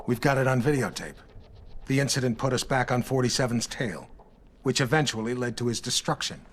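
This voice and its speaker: An actor playing a grim, authoritative former agency chief in a video game, speaking deliberately. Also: male voice, medium pitch, lightly acted. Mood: grim, assured. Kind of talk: video game cutscene acting.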